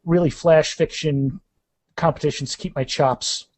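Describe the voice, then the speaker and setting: Higher-pitched man chatting casually in an interview. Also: steady tone, normal speed, darkish tone, dry recording. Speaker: author interviewed as podcast guest. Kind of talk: casual interview chat with anecdotes.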